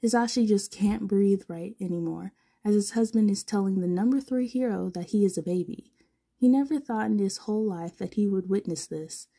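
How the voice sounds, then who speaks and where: A woman's voice: medium pitch, normal speed, creaky, dark tone, breathy edge. A woman narrating fan fiction informally as a podfic reader, now and then stumbling over words.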